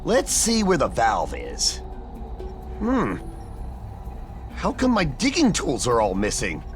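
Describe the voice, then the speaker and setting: An actor voicing an excitable, chatty young animal plumber, a little brother, in a video game. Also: male voice, mid-range voice, slow, soft background music. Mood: puzzled, helpful. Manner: exuberant, chatty.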